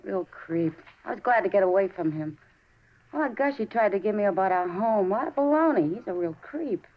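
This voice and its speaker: A woman's voice: medium pitch, animated, normal speed, natural voice. An American actress playing a flippant, slangy young woman in a 1950s radio drama, bored and scornful. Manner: offhand, sassy, slangy. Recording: dull 1950s radio recording.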